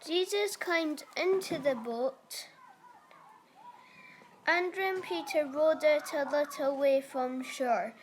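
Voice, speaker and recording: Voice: high voice, slow, a little hiss or hum. A narrator reading a scripted story for a school puppet show.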